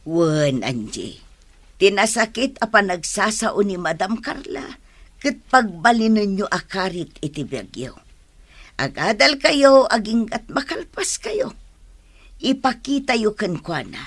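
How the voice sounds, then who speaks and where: Woman acting slow, husky motherly elder. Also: mid-range voice, big pitch swings, dry recording. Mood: stern, encouraging. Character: older female relative, caring and admonishing. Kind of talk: audio drama acting.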